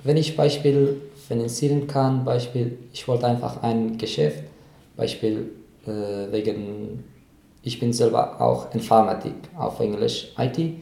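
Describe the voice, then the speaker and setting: A man from Afghanistan, a native Dari speaker with an Afghan accent, giving casual interview answers and haltingly searching for words. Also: mid-range voice, fairly even intonation, creaky, warm tone. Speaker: interviewee, asylum seeker. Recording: roomy, echoing sound.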